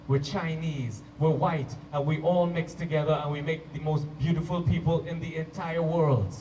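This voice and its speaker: A man with a Canadian accent performing stand-up comedy to a live audience, telling stories playfully with drawn-out emphasis and pauses. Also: on the high side, darkish tone, echoey room. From Toronto, Canada. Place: live comedy show at a park venue. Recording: distant mic, hissy live-show camcorder sound.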